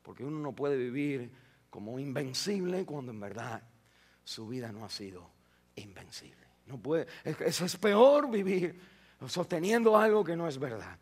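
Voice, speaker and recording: High-voiced pastor preaching with rising bursts. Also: male voice, big pitch swings, normal speed, breathy edge. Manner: preaching with rising bursts of emphasis, frequent repetitions and restarts. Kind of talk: sermon. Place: church sanctuary.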